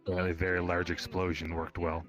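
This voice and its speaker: Man acting a very deep, guarded hobbit villager. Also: monotone, brisk, fairly bright tone. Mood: dry, wary. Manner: terse, dry, reserved. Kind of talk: improvised fantasy roleplay acting. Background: background music.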